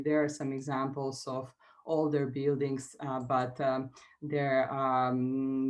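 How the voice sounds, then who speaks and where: A woman in her sixties with a Serbian accent, giving an online technical presentation in a halting, hesitant way. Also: a bass voice. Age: 60s. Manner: hesitant, halting phrasing with frequent pauses. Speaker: expert presenter, structural engineer.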